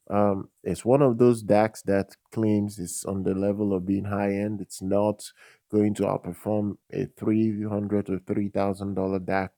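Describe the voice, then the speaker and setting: A man hosting a tech channel, giving casual product commentary in a halting way, often repeating words and phrases. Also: on the low side, warm tone, no room sound, studio quality. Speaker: tech review channel host.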